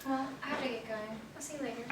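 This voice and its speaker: A woman's voice: on the high side, steady tone, fast talker, natural voice. A woman playing a friendly, inquisitive coworker in a stage play, chatty and encouraging. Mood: friendly, curious. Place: theater stage, distant mic. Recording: distant echoey stage with clear noise.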